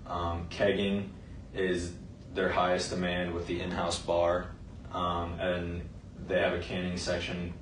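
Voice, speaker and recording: Deep monotone young man narrating. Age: young adult. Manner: monotone, slow, with many ums. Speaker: student presenter. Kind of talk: class project narration. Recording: roomy, distant mic, faint noise.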